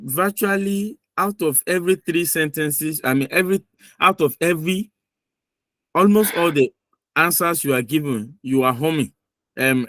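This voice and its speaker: A man's voice: fairly high pitch, fairly bright tone, no room sound. A man running a mock visa interview on a video call, giving firm, lecturing feedback and repeating his points for emphasis.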